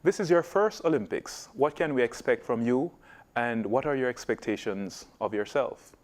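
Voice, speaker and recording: A man's voice: mid-range voice, moderate pace. A man hosting a sports interview show, asking questions in a genial way and using local sayings. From Jamaica.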